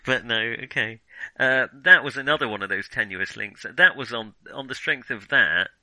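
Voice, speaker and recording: A man's voice: medium pitch, normal speed, bright, forceful tone, dry recording, rough recording. A British man co-hosting a film podcast in casual conversation, blunt and informal, with hesitant phrasing.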